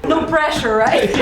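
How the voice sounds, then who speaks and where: Giggly Slovenian woman, slightly high voice. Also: roomy sound, background noise. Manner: giggly, short replies. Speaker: friend on a vlog. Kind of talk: casual chat.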